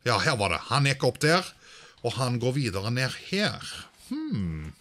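A man's voice: mid-range voice, big pitch swings, bright, forceful tone. A man doing casual let's-play commentary, thinking aloud and sprinkling in English exclamations. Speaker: gaming video host.

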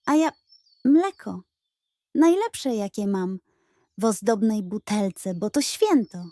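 A woman's voice: medium pitch, expressive, slow pace, dark tone, no room sound, clean studio sound. A woman voicing a proud, generous female cow with a smiling manner in a children's story.

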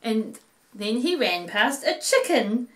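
A middle-aged woman, narrating a children's story in an animated way at home. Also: fairly high pitch, slow. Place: living room, seated in an armchair.

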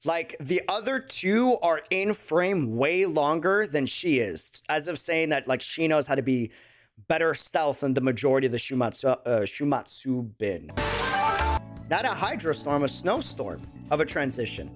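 Young man, fairly high voice, slangy excited reaction commentary, clear music behind. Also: normal speed. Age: young adult. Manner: effusive, slangy, uses bro and dude. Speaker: reaction video creator. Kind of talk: excited casual video game reaction commentary. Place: small indoor room at a desk. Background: game trailer music.

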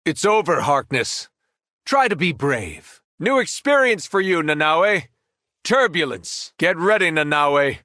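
A fallen alien superhero in a video game, menacing and arrogant. His fairly high, forceful voice swings widely as he delivers cold, mocking threats in a dry, close recording. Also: big pitch swings, measured pace, dry recording, heavily acted. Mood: taunting. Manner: taunting, cold mockery, grandiose threats. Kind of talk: video game villain voice acting.

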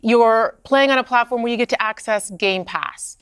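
A woman's voice: moderate pace, a bit bright, clean tone, no echo, clean studio sound. A woman executive giving confident, polished answers on a podcast set. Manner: polished, confident pitch-like delivery. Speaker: gaming company executive. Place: studio set.

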